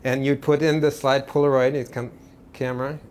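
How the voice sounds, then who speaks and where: A man from Portugal, an artist guest, talking about his work in a relaxed, rambling way with many restarts. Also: mid-range voice, a little fast, slight background noise. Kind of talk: casual interview.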